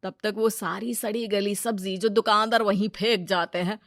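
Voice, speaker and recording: A woman's voice: medium pitch, steady tone, normal speed, no room sound, studio quality, lightly acted. A woman voicing a greedy, scheming male village moneylender in a cartoon story, pushy and exclamatory. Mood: gloating, scheming.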